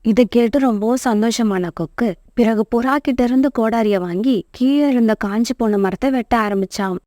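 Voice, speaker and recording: A woman's voice: on the high side, normal speed, mellow, dry recording, studio quality. A woman narrating a scripted moral story for children.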